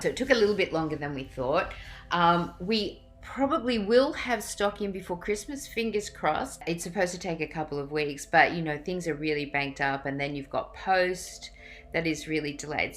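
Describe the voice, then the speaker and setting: A middle-aged woman with a fairly low voice talks casually to camera, like an author addressing loyal readers. Her delivery is informal and effusive, and she rambles loosely from one thought to the next. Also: a bit bright.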